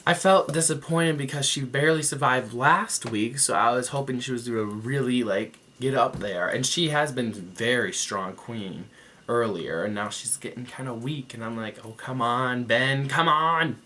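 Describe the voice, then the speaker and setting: A man's voice: mid-range voice, normal speed, sharp tone, a little hiss or hum. A young man giving a sassy, profane, gossipy review to camera as a vlogger. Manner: sassy, profane and gossipy, with mocking quoted voices. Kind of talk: casual talk to camera.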